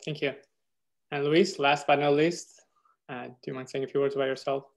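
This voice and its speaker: A man's voice: medium pitch, flat delivery, brisk. A man hosting an online meetup, briefly introducing each member over a video call. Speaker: meetup host.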